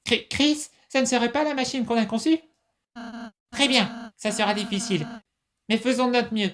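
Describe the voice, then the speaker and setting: A woman playing a gentle, earnest young companion in an amateur fantasy comic dub, courteous and a little hesitant. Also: a little high, fairly even intonation, normal speed, slight background noise, lightly acted. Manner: courteous, hesitant, careful phrasing.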